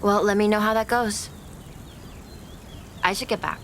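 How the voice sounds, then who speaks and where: An actress plays a guarded woman in an audio drama who doesn't buy what she hears. She is blunt and clipped, protective and skeptical, in a medium-pitched voice over faint noise. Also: unhurried, lightly acted. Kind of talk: naturalistic audio drama acting.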